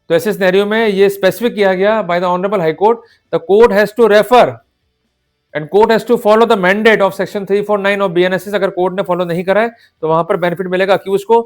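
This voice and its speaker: A man with an Indian accent lecturing on law in a didactic way, posing questions, checking in with listeners and switching into formal English legal phrases. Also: sits high. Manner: didactic, rhetorical questions with frequent check-ins. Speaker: advocate giving law lectures. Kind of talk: explanatory lecture to viewers. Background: music.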